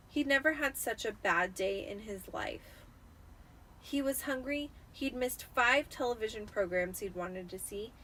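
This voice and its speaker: A woman's voice: medium pitch, a bit bright, slight background noise, poor audio. A tipsy woman giving an amateur dramatic reading of a storybook. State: tipsy after drinking wine.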